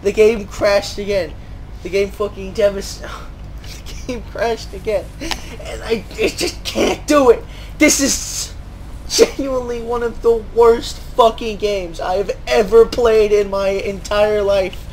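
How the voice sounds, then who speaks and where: A man's voice: sits high, fairly even intonation, takes it slowly, darkish tone. A man giving mocking, sarcastic game commentary, swearing and ranting as he goes. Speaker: gaming video host. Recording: noisy, game sound behind.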